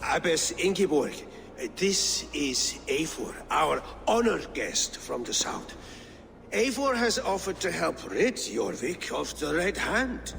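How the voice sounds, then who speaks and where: Slow, courteous medieval lord. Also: male voice, fairly high pitch, big pitch swings, vocal fry, a bit bright, big-room sound, a little hiss or hum, exaggerated voice. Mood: courteous, concerned. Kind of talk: video game voice acting.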